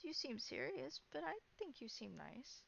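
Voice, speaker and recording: A woman's voice: high voice, sing-song, normal speed, vocal fry, fairly bright tone, highs cut off, pitched above the performer's own voice, exaggerated voice. A woman playing a young newborn female vampire in a tabletop game, confused and curious, with hesitant, simple questions.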